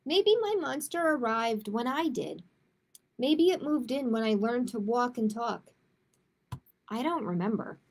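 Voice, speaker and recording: A woman hosting a children's storytime, reading picture books aloud and chatting to families in an expressive way. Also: on the high side, a little slow. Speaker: children's librarian, storytime host. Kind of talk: picture book read-aloud and chatty announcements to young families.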